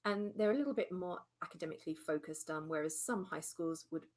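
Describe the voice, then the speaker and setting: A woman's voice: low voice, brisk. A woman from England chatting casually to her webcam in a home vlog, rambling a little with lots of ums. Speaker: vlogger. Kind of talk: casual chat. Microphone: desktop mic with webcam.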